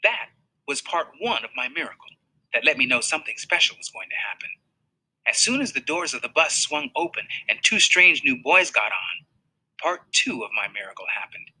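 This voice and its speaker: A man reading a children's novel aloud in an expressive storytelling manner. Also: medium pitch, moderate pace, breathy edge, low-quality recording. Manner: expressive storytelling, voices for characters. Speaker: reader.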